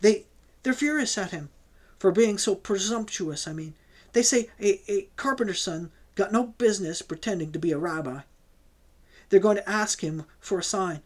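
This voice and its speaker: A woman's voice: medium pitch, normal speed, pitched above the performer's own voice, close to an everyday voice. A man reading an audiobook voices a desperate young woman who pleads through sobs and stammers. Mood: tearful, pleading. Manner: sobbing, stammering pleas.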